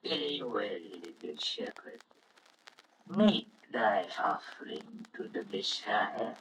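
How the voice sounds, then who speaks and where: A man's voice: lowish voice, very animated, takes it slowly, fairly bright tone, heavily acted. A man playing a stern old shepherd in an old-fashioned radio nativity drama, with grave archaic diction, on a noisy recording.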